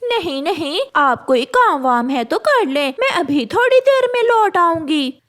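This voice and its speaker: A woman's voice: very high-pitched, sing-song, a little slow, fairly bright tone, voice pushed up, full character voice. A woman voicing a young crow wife in a cartoon, flustered and pleading, often repeating words as she hesitates. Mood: evasive, hurried.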